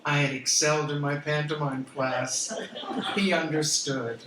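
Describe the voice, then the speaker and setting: An older American woman reading her memoir aloud as a theatrical, expressive storyteller, with emphatic pauses. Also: low-pitched. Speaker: author reading from own memoir. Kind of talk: dramatic live storytelling reading. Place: room in a historic house, before listeners. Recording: roomy, distant mic with background noise.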